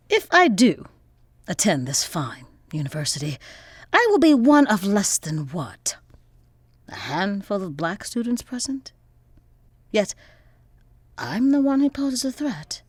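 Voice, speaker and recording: An actress playing a proud, defiant young activist scholar with articulate, formal diction in an audio drama. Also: female voice, mid-range voice, big pitch swings, slow pace, pauses often, husky, no room sound, clean studio sound, heavily acted. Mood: indignant, defiant. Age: young adult.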